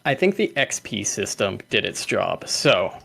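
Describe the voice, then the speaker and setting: A man's voice: lowish voice, big pitch swings, a little slow, gravelly, pushed and bright, slightly rough. A young man hosting a livestreamed community call, reading out names and laughing often. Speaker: host.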